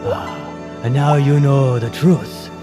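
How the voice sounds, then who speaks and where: A man's voice: mid-range voice, slow pace, over music, natural voice. A man playing a mocking, stern prison-camp commandant in a parody radio bit.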